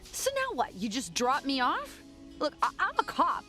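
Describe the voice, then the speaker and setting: A woman's voice: sits high, wildly swooping pitch, normal speed, sharp tone, faint music behind, exaggerated voice. A woman playing a world-weary, sarcastic police detective in an audio drama, blunt and informal. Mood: exasperated.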